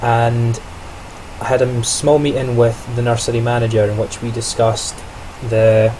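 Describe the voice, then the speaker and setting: A man's voice: medium pitch, little pitch movement, moderate pace, warm tone, a little hiss or hum, rough recording. A young man, a trainee teacher, talking through his day in a casual video diary, chatty and reflective with frequent self-corrections and small laughs.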